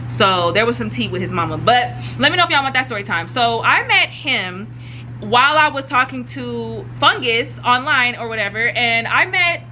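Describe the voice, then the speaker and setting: A woman's voice: fairly high pitch, sharp tone, slight background noise. A young woman from Atlanta, telling a storytime in a chatty, rambling way full of fillers. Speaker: YouTuber. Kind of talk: casual storytime.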